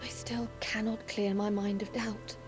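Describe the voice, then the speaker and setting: A woman voicing a dutiful young warrior maiden in a video game, speaking formally and earnestly in a measured way. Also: medium pitch, flat delivery, over music, close to an everyday voice. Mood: earnest, troubled. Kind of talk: video game cutscene acting.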